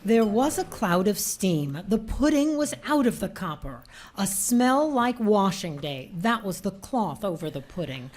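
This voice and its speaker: Woman, radio drama narrator, slow measured pace, mid-range pitch, scripted storytelling. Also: very animated, a little mellow.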